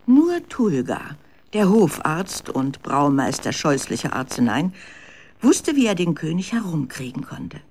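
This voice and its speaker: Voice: medium pitch, big pitch swings, a little slow, darkish tone, slightly rough. A narrator telling a scripted story for children in a radio drama.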